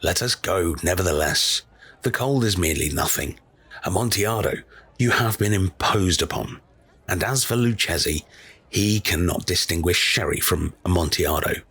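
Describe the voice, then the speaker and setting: A man reading aloud and voicing a tipsy, proud male wine connoisseur in carnival motley, with excited, incredulous outbursts. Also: low-pitched, moderate pace, breathy edge, exaggerated voice. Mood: eager.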